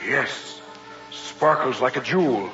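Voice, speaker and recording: Eager, proud radio-drama professor. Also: male voice, mid-range voice, animated, takes it slowly, bright, forceful tone. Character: eager, proud male professor, bold scientist. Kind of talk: old-time radio drama acting. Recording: muffled vintage radio, faint music.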